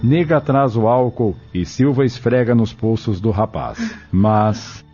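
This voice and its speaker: A man's voice: on the low side, measured pace. A man reading scripted narration for an audio drama. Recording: muffled recording over soft music.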